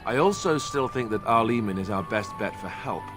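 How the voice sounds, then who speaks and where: A man's voice: fairly low pitch, expressive, moderate pace, lightly acted. Wry young warrior played by a man, music behind. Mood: earnest. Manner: conversational, wry. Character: earnest young male warrior, self-deprecating, wry and sarcastic. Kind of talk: video game voice acting.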